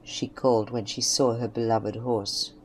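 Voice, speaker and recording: A woman's voice: very deep voice, level tone, unhurried, poor audio. Woman narrating a western audio story, scripted read, bit of background noise. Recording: faint background noise and ambience.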